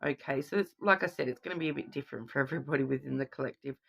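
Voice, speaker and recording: A woman's voice: very deep voice, racing, creaky, a bit bright, slightly rough. A woman with a cold, sounding sniffly, giving a chatty card reading to viewers and often checking in with "okay?". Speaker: tarot card reader.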